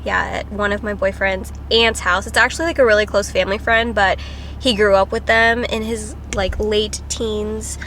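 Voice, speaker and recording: A woman's voice: mid-range voice, fairly even intonation, moderate pace, fairly bright tone, slight background noise. A woman, a travel vlogger chatting casually to the camera, a little congested from a cold, with lots of "like" and "um". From Philippines. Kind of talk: casual vlog talk to camera. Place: driving around and outdoors in summer.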